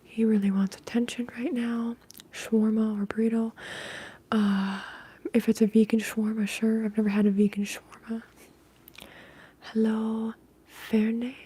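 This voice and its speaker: Breathy, whispering woman doing soft-spoken ASMR. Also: a little high, level tone. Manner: hushed, unhurried casual talk. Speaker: ASMR livestream host. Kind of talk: ASMR, chatting with live viewers.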